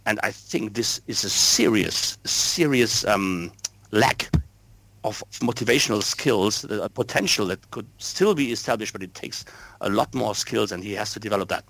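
A man's voice: lowish voice, normal speed, gravelly, a bit bright. A man with a German accent whose first language is German, talking football earnestly as a podcast guest and often repeating words while he looks for the English. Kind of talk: casual football chat.

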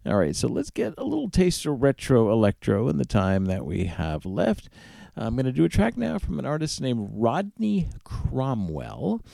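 A man's voice: medium pitch, wildly swooping pitch, normal speed, gravelly, dark tone, no echo, clean studio sound. A man hosting a music radio show, casually back-announcing tracks in an informal, conversational manner.

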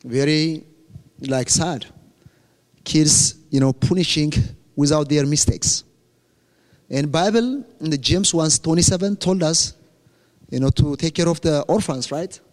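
An earnest young man with an Ethiopian accent, whose first language is Amharic, gives a church testimony in plain, direct English. His voice sits a little high for a man, and it rings with a long reverb through a large hall. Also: unhurried, pauses often. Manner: earnest, plain-spoken, self-correcting, joking about his accent. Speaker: guest speaker at a church.

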